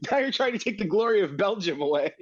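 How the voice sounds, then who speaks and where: A man co-hosting a podcast, talking loosely, swearing freely and stumbling through restarts. The recording is dry and a little dull, as if the highs were cut off. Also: lively intonation, fast talker, no echo. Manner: loose, profane, stammering restarts. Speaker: podcast co-host. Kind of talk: casual chat.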